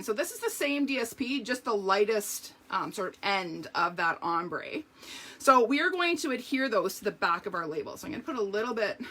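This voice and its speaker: A woman showing card-making step by step in a live video, chatty and talking to her viewers, her voice slightly hoarse. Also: mid-range voice, bright, forceful tone. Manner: chatty, explains each step, talks to viewers. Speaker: craft demonstrator.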